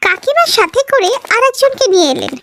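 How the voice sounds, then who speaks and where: A woman's voice: very high-pitched, big pitch swings, fairly quick, fairly bright tone. A woman narrating a scripted fairy-tale cartoon as a storyteller.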